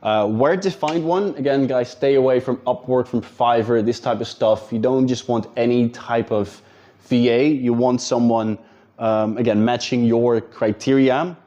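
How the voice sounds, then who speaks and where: Dutch-accented male coach, informal straight talk, warm-dark voice, a little lo-fi. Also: medium pitch, a little slow. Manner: direct, informal, addresses viewers as guys. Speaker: business coach. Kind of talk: casual talking-head advice video.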